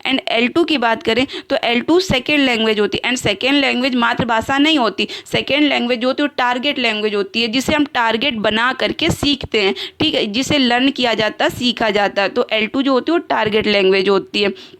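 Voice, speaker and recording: A woman's voice: a little high, brisk, fairly bright tone. A woman teaching an exam-prep lesson over slides, explaining in Hindi with English terms and pairing each term in both languages, with fillers and self-corrections.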